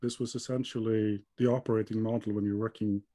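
A man from Iceland in his thirties talks through his story in an even, level tone. He often stops with ums and starts over. His voice sounds soft and mellow, and the sound is dry and close. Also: fairly low pitch, fairly quick, no room sound. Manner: hesitant, many ums and restarts. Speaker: guest. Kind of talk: conversational interview answers.

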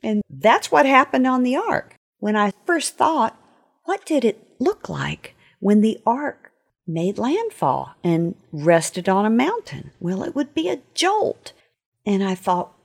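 A woman's voice: medium pitch, sing-song, unhurried, creaky, husky. A woman speaking expressively in a devotional talk for children, posing questions as she explains. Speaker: author giving a short lesson.

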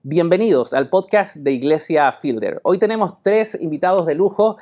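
A man's voice: fairly high pitch, expressive, normal speed, darkish tone. Male podcast host, pastor; friendly, keeps saying "uh-huh"; muffled lo-fi audio. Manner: friendly, frequent affirmations. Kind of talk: hosting a podcast interview.

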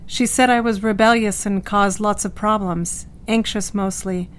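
A woman's voice: mid-range voice, steady tone, measured pace, natural voice. A woman playing a placid, docile young married patient who speaks politely, simply and vaguely in a period audio drama.

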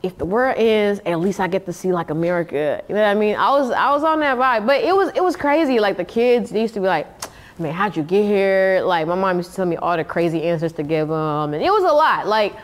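A woman in her thirties, a podcast guest telling lively slang-filled stories in casual chat and laughing often. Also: medium pitch, fairly quick. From Nigeria.

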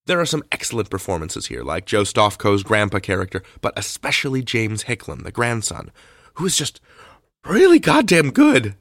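A man's voice: lowish voice, big pitch swings, moderate pace, a bit bright, no echo. A man hosting a podcast, giving a conversational introduction into a close mic. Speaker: podcast host.

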